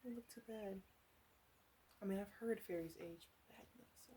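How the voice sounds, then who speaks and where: A woman playing a flirty, teasing witch in an ASMR roleplay, coaxing the listener softly with playful asides. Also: medium pitch, moderate pace, a little hiss or hum, natural voice. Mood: casual, teasing. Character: teasing, flirty witch in her three hundreds.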